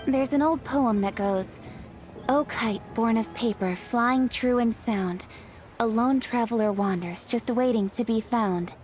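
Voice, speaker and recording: An actress playing a composed, thoughtful young noblewoman in an anime-style game, speaking in a measured, formal way. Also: a little high, darkish tone, soft background music, natural voice. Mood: earnest.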